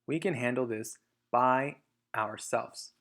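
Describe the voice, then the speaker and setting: A man voicing a thoughtful, devoted father fox in a children's storybook, speaking carefully and deliberately. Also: medium pitch, fairly bright tone. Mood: gentle, resolved. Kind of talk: children's storybook read-aloud.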